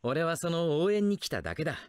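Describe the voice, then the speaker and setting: A man's voice: a little high, sing-song, a little fast, smooth, no echo, clean studio sound. A man playing a stern, protective adult ninja teacher in an anime game, speaking earnestly and firmly. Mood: stern, guarded. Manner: earnest, firm, admonishing. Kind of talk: dramatic anime game acting.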